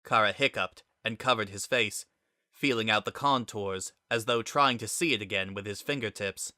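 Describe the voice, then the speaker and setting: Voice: mid-range voice, normal speed, sharp tone, dry recording, studio quality. A narrator giving a dramatic scripted reading of a story.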